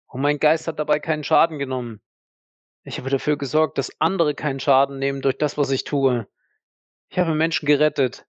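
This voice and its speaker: A man's voice: a little high, steady tone, no room sound, muffled recording, natural voice. A man playing a proud, defiant accused war veteran in an audio drama, indignant and emphatic. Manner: indignant, emphatic, halting when pressed. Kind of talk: dramatic audio play acting.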